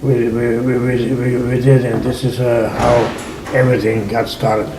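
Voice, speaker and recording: An older man from Hungary, a winery owner, telling his story in an oral history interview, halting and repeating words often. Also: mid-range voice, flat delivery, reverberant, noisy background.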